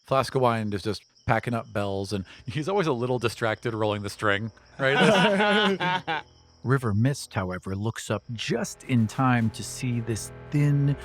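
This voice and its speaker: A man's voice: medium pitch, animated, a little slow, a bit bright, soft background music. A man narrating a tabletop roleplaying game as its game master and show host.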